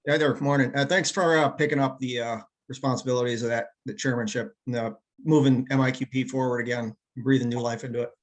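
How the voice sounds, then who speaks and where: A man's voice: mid-range voice, fairly even intonation, fast. A man speaking casually as a meeting participant, putting questions to a presenter. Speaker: club meeting attendee asking a question.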